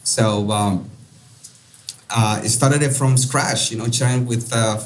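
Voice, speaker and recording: A man in his forties from Peru, with a Peruvian Spanish accent, talking as a podcast guest with many fillers and pauses. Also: medium pitch. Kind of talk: casual interview talk.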